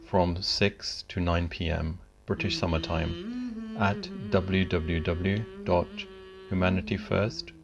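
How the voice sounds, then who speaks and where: Man, announcer, very deep, gravelly, slow, music bed. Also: very animated. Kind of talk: promo voice-over. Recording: dull promo audio over music.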